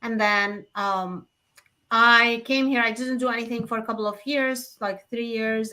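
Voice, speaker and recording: Bright, high-voiced middle-aged woman, Syrian accent. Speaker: host, nonprofit consultant. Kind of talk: casual personal storytelling.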